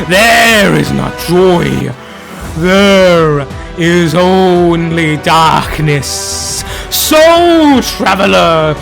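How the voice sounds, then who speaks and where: A man delivering dramatic scripted lore narration, grave and portentous, in archaic oratorical phrasing with dramatic pauses. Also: sits high, creaky. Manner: grave, theatrical, portentous, addressing the listener as traveler. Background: background music.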